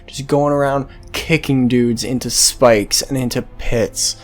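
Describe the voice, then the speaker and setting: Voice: medium pitch, fairly even intonation, measured pace, faint music behind. A game series host giving casual, sweary let's-play commentary in a rambling, hesitant way.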